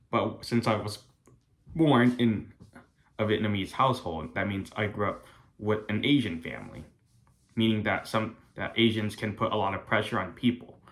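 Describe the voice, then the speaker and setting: Earnest young man, lowish voice. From United States. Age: young adult. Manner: earnest, careful, halting delivery. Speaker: college student. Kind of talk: prepared class speech.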